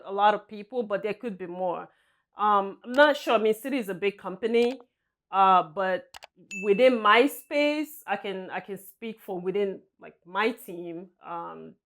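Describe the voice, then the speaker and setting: A woman from Nigeria answering interview questions as a guest, soft-spoken and hesitant, often laughing lightly. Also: mid-range voice. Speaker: guest, senior vice president.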